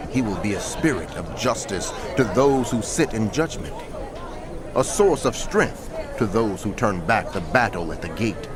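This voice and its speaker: A man's voice: medium pitch, noisy background. A man narrating scripture dramatically, in a declamatory and emphatic manner.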